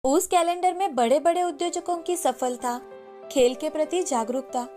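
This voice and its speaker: A woman narrates a children's moral story from a script. Her voice is very high for a woman. Faint music plays behind the narration. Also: moderate pace, warm tone.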